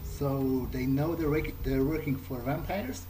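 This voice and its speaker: A man's voice: medium pitch, a little slow, background noise, lightly acted. A man playing a cautious, wry vampire fledgling in an improvised video game playthrough, thinking aloud hesitantly. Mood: wary, polite. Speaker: gamer playing in character. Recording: game music under the voice.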